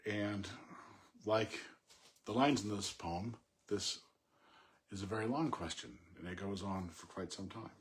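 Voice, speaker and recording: A man informally analysing a poem, thinking aloud in a halting, reflective way with pauses between short phrases. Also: lowish voice, a little hiss or hum. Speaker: poetry commentator.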